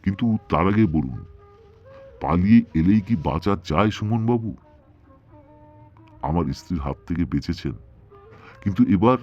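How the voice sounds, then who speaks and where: A man's voice: rumbling low, a little mellow, lo-fi sound, exaggerated voice. A man voicing a sardonic, menacing male ghost in a horror audio story, with mocking, drawling politeness that can turn stern. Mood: menacing, taunting. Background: music.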